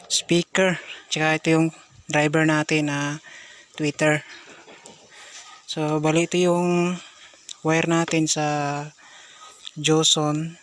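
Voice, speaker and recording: A Filipino man giving a casual hands-on product demonstration, speaking haltingly with frequent short interjections. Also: a little high, a bit bright, no echo. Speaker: product reviewer.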